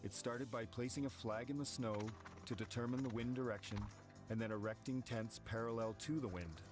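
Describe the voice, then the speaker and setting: A man reading measured, steady scripted narration for an expedition documentary. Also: mid-range voice. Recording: voice-over with background music.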